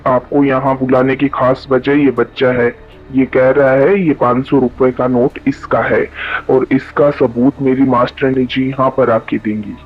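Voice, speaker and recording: A man plays a stern village headman in a cartoon moral tale. His delivery is authoritative and very even, the sound thin and lo-fi over faint music. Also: mid-range voice, natural voice. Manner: authoritative, scolding. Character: stern male village headman judging disputes.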